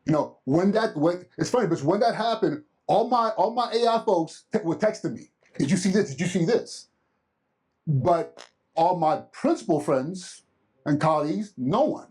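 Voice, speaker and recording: A man co-presenting at a live conference, speaking with a big, forceful voice and a sense of urgency. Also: sits high, animated, moderate pace, breathy edge, low-quality recording. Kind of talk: live summit talk to an audience. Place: conference room with a live audience.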